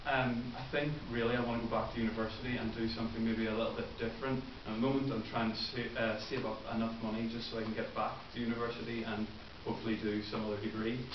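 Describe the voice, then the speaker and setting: A man's voice: on the low side, little pitch movement, quick pace, few pauses. A man in his twenties with a Northern Irish accent, giving an earnest prepared speech with frequent ums and uhs. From Northern Ireland. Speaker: club member giving a first speech. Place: meeting room. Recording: roomy, distant, muffled with hiss.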